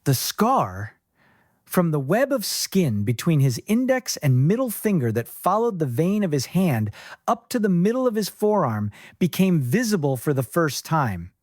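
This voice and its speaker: A man reading scripted fiction as an audiobook narrator, in a measured storytelling manner. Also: fairly high pitch, very animated, a little mellow, no echo, clean studio sound.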